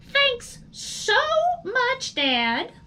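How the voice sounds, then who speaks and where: A woman's voice: very high-pitched, very animated, drawn-out words, bright, forceful tone, voice pushed up, full character voice. A woman voicing an indignant, sarcastic young boy earthworm in a storybook reading. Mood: sarcastic indignation. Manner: exclamatory, sarcastic.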